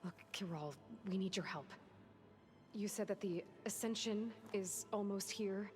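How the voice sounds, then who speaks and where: A pragmatic young female hunter from a video game cutscene, played by an actress. Her voice sits at a medium pitch, direct and persuasive, with music clearly audible behind it. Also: unhurried, a little mellow, lightly acted. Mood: urgent, persuasive. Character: determined, pragmatic young woman hunter heroine.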